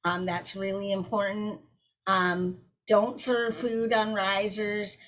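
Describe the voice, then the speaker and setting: A woman's voice: medium pitch, little pitch movement, slow pace, poor audio. Brief: woman, webinar presenter, direct conversational delivery over a video call. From South Carolina. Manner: warm, direct, addresses audience as y'all.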